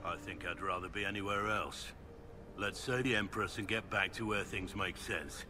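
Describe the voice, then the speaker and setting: A man playing a gruff, guarded veteran warrior in a video game, curt, dry and plain-spoken. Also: low-pitched, steady tone, moderate pace, over music, lightly acted. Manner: curt, dry, matter-of-fact. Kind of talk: video game dialogue acting.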